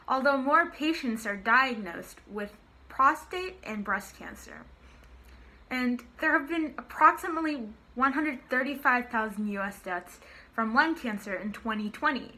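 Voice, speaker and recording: A teenage girl opens a student research presentation with clear, scripted delivery. Her voice is bright, with a fairly high pitch. She speaks from home into a laptop mic. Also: low-quality recording. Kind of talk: prepared project presentation. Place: room at home.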